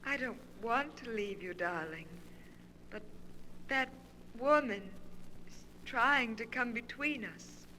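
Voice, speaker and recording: A piercingly bright, slow female voice on a hissy vintage radio recording. It is an evil ghost lover, seductive and commanding, drawing out each phrase in melodramatic 1940s horror style. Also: a little high, expressive, full character voice, low-quality recording. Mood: yearning, possessive.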